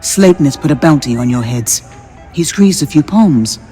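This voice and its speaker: An actor playing a businesslike aide in a video game, reporting in a matter-of-fact but urgent way. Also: male voice, on the high side, lively intonation, moderate pace, warm tone, faint music behind, close to an everyday voice. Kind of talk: video game dialogue acting.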